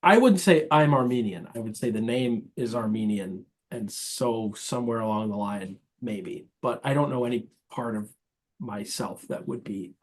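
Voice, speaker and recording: A man's voice: lowish voice, normal speed. An American man in his thirties, a priest, talking casually as an interview guest. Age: 30s.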